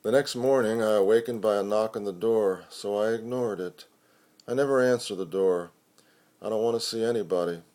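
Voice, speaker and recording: A man giving an expressive poetry recitation with measured, deliberate phrasing. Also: fairly low pitch. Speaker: poetry reader.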